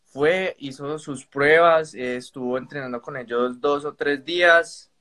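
A man's voice: on the high side, a little slow, sharp tone. A man with a Colombian accent, speaking as a caller in a casual, polite, somewhat hesitant and roundabout way. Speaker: caller on a live stream. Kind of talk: casual spoken account.

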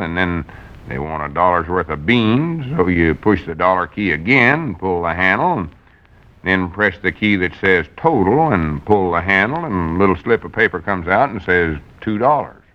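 An actor playing an eager, gullible small-town storekeeper in an old-time radio comedy, with a rural Southern accent and earnest backwoods talk. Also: male voice, deep voice. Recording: muffled vintage radio recording.

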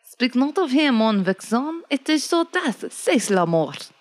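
A woman's voice: high-pitched, sing-song, measured pace, pitched above the performer's own voice. A woman voicing a resigned, ominous frontier guide in a storybook tale.

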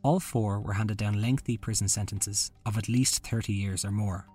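A man's voice: lowish voice, fairly even intonation, normal speed, warm tone, husky, faint music behind. A man hosting a podcast, reading a scripted true-crime narration. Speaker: podcast host.

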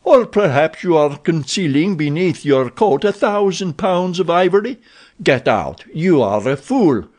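A man's voice: on the high side, sing-song, a little slow, darkish tone, voice pushed up. A man doing a sneering, scornful captor chief.

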